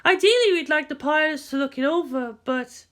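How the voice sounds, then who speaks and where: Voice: high-pitched, expressive, normal speed, fairly bright tone, voice pushed up. A narrator voicing an earnest, polite young woman, a businesslike concept artist in an audiobook story. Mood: eager, polite.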